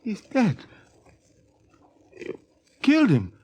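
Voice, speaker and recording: Folksy spaceman, very lively pitch. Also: takes it slowly, slightly rough, muffled recording, full character voice. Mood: shocked, outraged. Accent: American. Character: plainspoken, good-natured Cherokee American spaceman. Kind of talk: 1950s radio drama acting. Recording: faintly noisy old 1950s radio recording.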